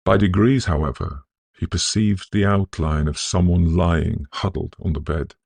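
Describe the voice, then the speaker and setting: A man narrating a literary ghost story in a measured, solemn, scholarly manner, with a gravelly, husky voice. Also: low-pitched, darkish tone, no room sound. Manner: measured, deliberate, solemn delivery.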